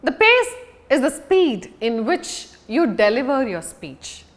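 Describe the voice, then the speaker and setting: A woman giving a public speaking lesson as an instructor, speaking deliberately and emphatically with dramatic pauses. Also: sits high, very animated. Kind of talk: scripted lesson to an audience on video.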